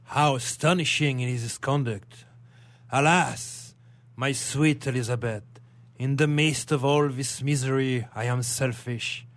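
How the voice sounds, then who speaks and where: A man playing an earnest, fond young bridegroom in an old radio melodrama, with measured formal diction. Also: medium pitch, fairly even intonation, no room sound, lightly acted. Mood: concerned, fond. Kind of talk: old melodramatic stage play as radio drama.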